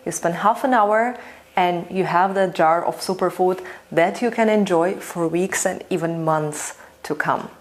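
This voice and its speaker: Low-voiced woman teaching home fermentation. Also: darkish tone. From Russia. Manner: enthusiastic, conversational, with frequent "you know". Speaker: fermentation teacher and channel host. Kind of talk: instructional video talk to camera.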